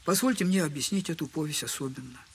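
A man's voice: on the high side, fast, creaky, breathy edge. An actor in an audio drama playing a humiliated, buffoonish middle-aged poor father who talks in a servile, wordy, self-mocking way. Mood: sorrowful, tender. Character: humiliated, buffoonish impoverished father, bitter and tender. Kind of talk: Russian literary audio drama acting.

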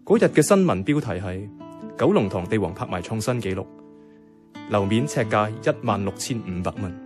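A man acting a reflective narrator in a Cantonese radio drama, with a Hong Kong accent and measured storytelling. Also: lowish voice, lively intonation, natural voice. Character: reflective male narrator recalling a quietly troubled woman friend. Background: guitar music.

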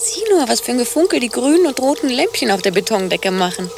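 Nina, creaky-voiced dreamy researcher. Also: female voice, fairly high pitch, very animated, fast, noisy background. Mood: dreamy, tender. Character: dreamy, devoted but self-willed female polar research partner Nina. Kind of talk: radio drama acting.

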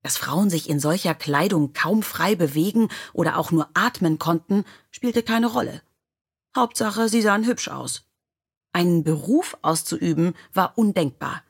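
A woman's voice: sits low, slightly rough. A woman reading short biographical stories in a scripted storybook narration style. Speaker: audiobook narrator.

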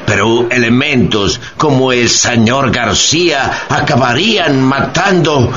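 An actor playing a pompous, mocking judge in a satirical radio drama, with a declamatory delivery. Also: male voice, mid-range voice, takes it slowly, a bit bright, muffled recording. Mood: pompous indignation. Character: pompous, mocking American judge condemning a foreigner.

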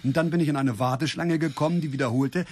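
Actor as high-pitched, hesitant, agitated German man. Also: fast, a little mellow, natural voice. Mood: insistent. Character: excitable, gullible yet suspicious German man, Elmo. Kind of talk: naturalistic German radio play acting.

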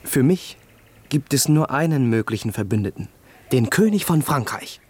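A haughty young crown prince. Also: male voice, medium pitch, animated, normal speed, darkish tone, husky. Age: young adult. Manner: haughty, self-assured. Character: young, proud, defiant male crown prince. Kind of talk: radio play acting.

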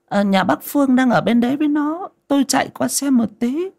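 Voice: sits high, animated, fairly quick, vocal fry, a little mellow, pitched above the performer's own voice, full character voice. A narrator voicing an anxious, bossy elderly village wife with fretful, folksy, stammering speech in an audio story. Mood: worried, bossy.

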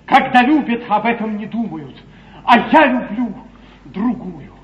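An actor playing an ardent, devoted young gentleman in love, in a theatrical 1950s stage comedy. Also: high voice, expressive, unhurried. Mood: impassioned. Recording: muffled, distant, echoey 1950s theatre broadcast.

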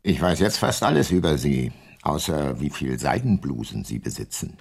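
A man's voice: rumbling low, sing-song, moderate pace, gravelly, darkish tone, breathy edge, heavily acted. A man acting in a radio play as an older private investigator, questioning people in a wry, probing way. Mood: wry flirting.

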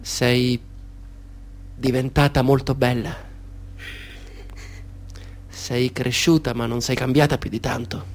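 Man voicing a soft-spoken creepy stranger in a radio play, calm and polite, normal mid voice. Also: medium pitch, takes it slowly, pauses often, lightly acted. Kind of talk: radio drama acting.

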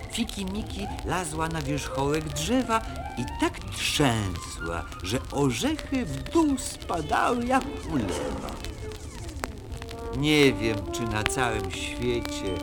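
Expressive, slow-paced male storyteller. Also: mid-range voice. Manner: theatrical, expressive. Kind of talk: rhymed children's story narration. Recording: vinyl record with loud music.

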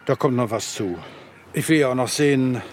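An actor playing an exhausted, dutiful man pushing himself to his limit in a satirical radio play, halting and strained. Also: medium pitch, slight background noise, close to an everyday voice.

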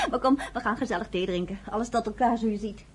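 A relaxed, welcoming woman in radio drama dialogue. Her voice is slightly high and husky, with an informal, friendly manner. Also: fast.

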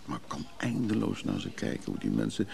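An actor playing a guarded, grumbling older man, measured and brusque, in a radio drama. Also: fairly low pitch, sing-song, gravelly, a little mellow, full character voice. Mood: musing, sardonic.

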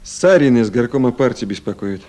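An actor playing a quiet, laconic and courteous party committee official in a radio drama. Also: male voice, mid-range voice, lively intonation, brisk, close to an everyday voice. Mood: businesslike. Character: restrained, reflective male city party committee official. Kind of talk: 1970s Soviet radio drama acting.

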